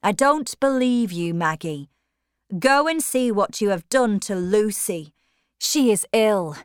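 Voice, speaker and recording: A woman's voice: mid-range voice, expressive, takes it slowly, clean tone, no echo, clean studio sound, voice pushed up. A woman voicing a stern, unforgiving brother in a storybook reading, speaking harshly and accusingly. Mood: cold anger.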